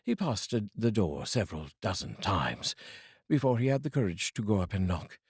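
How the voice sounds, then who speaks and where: A man from the United Kingdom giving a theatrical, expressive reading of a classic story as narrator. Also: lowish voice, moderate pace, husky, no room sound, clean studio sound. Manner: theatrical and expressive, savouring phrases. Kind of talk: dramatic audiobook reading.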